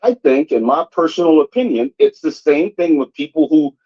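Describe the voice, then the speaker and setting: A man's voice: on the high side, lively intonation, normal speed. A man calling into a livestream, talking in a loose, rambling way. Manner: conversational, rambling with fillers. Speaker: caller. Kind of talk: casual call-in chat. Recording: dull lo-fi call audio.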